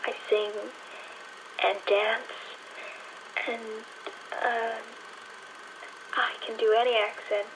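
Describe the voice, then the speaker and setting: A woman's voice: a little high, flat delivery. An actress playing a shy, nervously hopeful aspiring film star in her twenties, speaking hesitantly in an audio drama. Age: 20s. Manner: hesitant, halting, giggly. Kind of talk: audio drama acting, screen test monologue. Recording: noisy whirring film projector sound.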